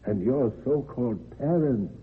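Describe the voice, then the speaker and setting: A man's voice: medium pitch, animated, a little hiss or hum, lightly acted. An actor playing a mysterious ghostly seafaring grandfather with a foreign accent, portentous, in an old-time radio horror play. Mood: solemn, revelatory. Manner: slow, portentous. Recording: tinny, band-limited vintage radio recording.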